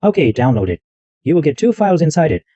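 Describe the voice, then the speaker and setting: A man hosting a tech tutorial, walking through software step by step and repeating himself as he goes. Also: a little high, sing-song, quick pace, dry recording, muffled recording. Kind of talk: screen-recorded software walkthrough.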